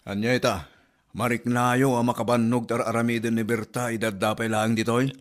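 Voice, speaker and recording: A man's voice: mid-range voice, flat delivery, a little fast, natural voice. A man playing an exasperated adult son who scolds his mother with reproachful questions in an amateur comedy audio drama.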